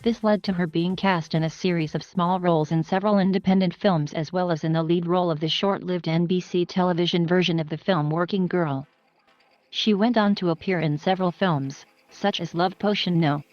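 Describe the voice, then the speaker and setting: A woman reading a scripted biography narration in an even, flat manner. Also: lowish voice, brisk, no echo, dull audio. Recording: faint music underneath.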